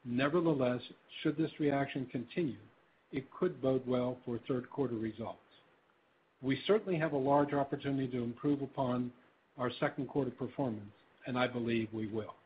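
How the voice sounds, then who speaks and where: A man reading scripted prepared remarks as a company executive on a conference call, in a formal, measured business delivery. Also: medium pitch. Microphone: telephone. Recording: tinny phone-line conference call with faint noise.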